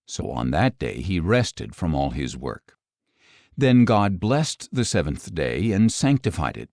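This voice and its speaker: A man reading scripture as an audiobook narrator, in a measured, solemn manner. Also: on the low side, darkish tone, no room sound, clean studio sound.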